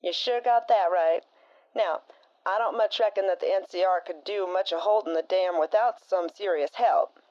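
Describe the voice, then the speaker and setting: A woman's voice: medium pitch, moderate pace, dull audio, natural voice. A woman playing a blunt, folksy frontier woman who talks with a drawl and slang as a radio co-host in a fan audio drama.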